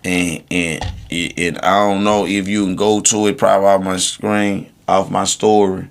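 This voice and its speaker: A man's voice: low-pitched, flat delivery, a little slow, creaky, clean tone. A man in casual podcast chat, talking in an emphatic, slangy way and repeating phrases for stress. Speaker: podcast host.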